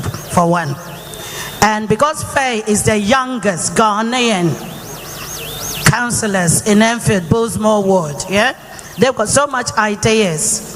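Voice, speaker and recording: A woman speaks into a microphone in a reverberant hall. She talks casually and loosely, circling around her question. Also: mid-range voice. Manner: chatty, rambling, with tag questions. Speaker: youth advocate asking a question. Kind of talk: audience question at a town hall.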